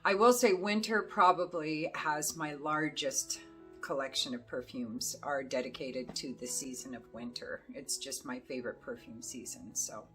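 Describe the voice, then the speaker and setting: A middle-aged woman with a hoarse voice, chatting casually as she reviews perfumes, rambling along with plenty of fillers. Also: rumbling low, normal speed, fairly bright tone, soft background music, rough recording. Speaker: fragrance reviewer. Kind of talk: casual chat to camera.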